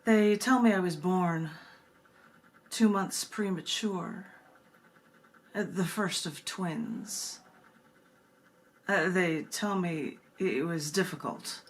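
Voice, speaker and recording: A woman's voice: lowish voice, slow, long pauses. A woman poet hosting a reading, reciting poetry and chatting about it with emphatic phrasing. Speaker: poet and host of a book reading. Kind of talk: poetry recitation with casual commentary between poems. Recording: clear background noise.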